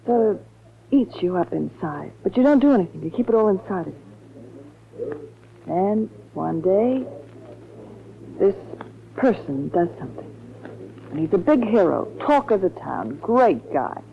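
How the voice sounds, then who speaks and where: An actress playing a bitter, brooding nightclub singer with a grudge in a 1940s radio drama. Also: female voice, lowish voice, sing-song, unhurried, gravelly, pauses often, exaggerated voice. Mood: bitter, vengeful. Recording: dull 1940s radio recording, music behind.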